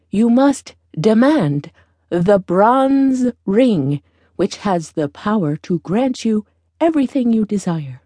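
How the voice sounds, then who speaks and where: Slow, high-voiced old beggar woman, acted by a woman. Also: dark tone, no echo. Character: old beggar woman in rags, wise, instructing.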